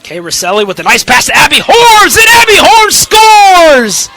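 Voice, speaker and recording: Young male American sports broadcaster, high-pitched and animated, punchy emphatic delivery. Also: moderate pace, shouting. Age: young adult. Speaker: student sports anchor, reporter and play-by-play commentator. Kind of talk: scripted sports news and commentary.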